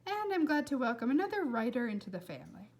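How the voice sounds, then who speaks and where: A woman's voice: a little high, big pitch swings, fast. A woman voicing a tense, falsely cheerful mother with a stiff, artificial manner in a storybook. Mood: forced cheer.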